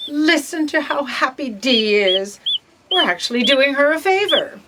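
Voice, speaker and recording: A woman's voice: high-pitched, expressive, a little slow, very noisy. A woman playing an imperious, exasperated boss in a comic audio drama, commanding and sarcastic in a theatrical way. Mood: smug. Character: imperious exasperated female boss of a bureaucracy of fate.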